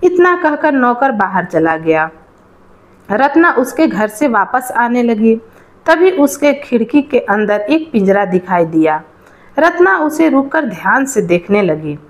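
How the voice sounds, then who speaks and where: A woman narrates a moral folk tale in a fairly high voice. Her pitch moves up and down in a lively way, and she takes her time over each sentence as a storyteller.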